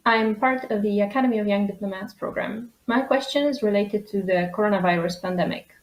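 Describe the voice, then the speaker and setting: Young Polish woman, polite and formal, mid-pitched. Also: fairly quick, highs cut off. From Poland. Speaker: young diplomat asking a question.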